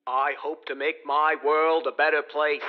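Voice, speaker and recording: A man's voice: on the high side, little pitch movement, a little slow, lightly acted. An actor playing a resigned, wry legendary king on trial, terse and formal, in an audio drama. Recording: dull, lo-fi radio drama sound.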